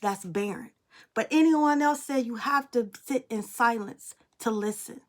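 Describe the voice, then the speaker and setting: A young adult woman with a fairly high voice talks to camera as a spiritual reader. She is blunt and emphatic, with free swearing, in a loose, casual monologue. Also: a little slow. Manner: blunt, emphatic, freely profane.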